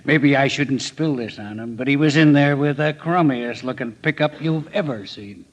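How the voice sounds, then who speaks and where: A man's voice: mid-range voice, normal speed, muffled recording, lightly acted. An actor playing a smug, cold man from the gambling rackets in 1940s radio drama, with sneering tough-guy slang. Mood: smug, mocking.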